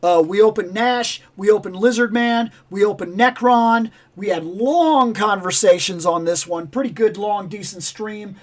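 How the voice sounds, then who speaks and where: Casting: high-pitched male livestream host, raspy and hoarse, rambling and animated. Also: measured pace, few pauses. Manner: rambling, animated, self-interrupting. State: raspy, losing his voice. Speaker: toy channel livestream host. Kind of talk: casual livestream chat.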